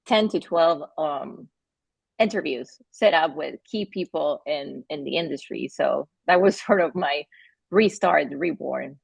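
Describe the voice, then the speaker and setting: Argentinian woman, low creaky voice. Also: dry recording. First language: Spanish. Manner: halting, with many fillers and restarts, laughs between phrases. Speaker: guest, company founder. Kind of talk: casual interview talk.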